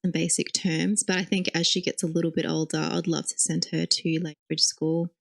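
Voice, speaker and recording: A woman's voice: low voice, little pitch movement, a little fast, gravelly. A woman co-hosting a podcast, chatting in a friendly way with light laughs. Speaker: podcast co-host. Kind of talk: casual chat.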